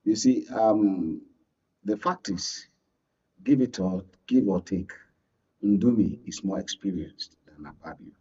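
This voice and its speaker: A Nigerian man giving unscripted political commentary as a guest analyst, explaining in a halting way. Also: on the low side, warm tone, breathy edge, recorded from a distance, lo-fi sound.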